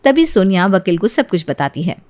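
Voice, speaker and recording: Female storyteller, medium pitch, scripted narration. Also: very animated, normal speed, a little mellow.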